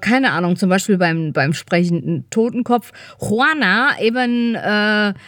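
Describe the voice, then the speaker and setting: A woman co-hosting a casual podcast, slightly congested from a cold, talking in an animated, colloquial way and often seeking agreement. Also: mid-range voice, measured pace, no room sound, clean studio sound. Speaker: podcast co-host.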